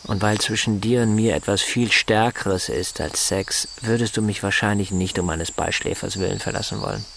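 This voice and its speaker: An actor in a German radio drama playing a bitter, sardonic husband in a wheelchair who lectures and rationalizes with emphasis. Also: male voice, fairly low pitch, normal speed, gravelly, few pauses, a bit bright, slight background noise. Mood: cool, resigned.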